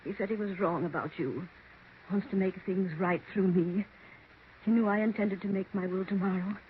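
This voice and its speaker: Haunted widowed aunt played by a woman, insistent, deliberate, mid-range voice, 1940s radio thriller, tinny recording. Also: medium pitch, steady tone, a little hiss or hum, natural voice. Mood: earnest, resolute. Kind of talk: 1940s radio mystery acting.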